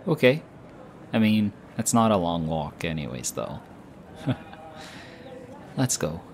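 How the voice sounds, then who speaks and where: A young man voicing an affectionate, teasing boyfriend in an audio roleplay, speaking softly and hushed. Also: low-pitched, slow, heavily acted. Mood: tender, teasing. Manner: soft, hushed, teasing with light laughs. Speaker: voice actor. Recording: steady ambient background noise. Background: busy airport ambience.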